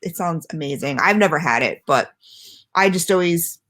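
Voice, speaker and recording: A woman's voice: on the low side, lively intonation, moderate pace, fairly bright tone. A woman chatting casually at home as she reviews a product for her channel, rambling and self-deprecating, with lots of fillers. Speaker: vlogger reviewing e-liquids. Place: at home, not her usual setup.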